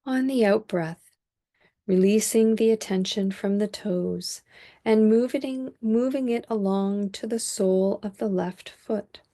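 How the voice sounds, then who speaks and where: Slow, mellow woman guiding meditation. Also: medium pitch. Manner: calm, measured, with long pauses. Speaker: meditation teacher. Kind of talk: guided body scan meditation.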